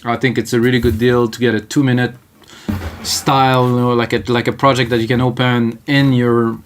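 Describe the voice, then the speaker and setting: A man's voice: medium pitch, little pitch movement, a little fast. A man in his thirties with a French Canadian accent, French his first language, teaching music production live in a loose, thinking-aloud way. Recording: electronic music playing under the voice. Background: faint electronic music.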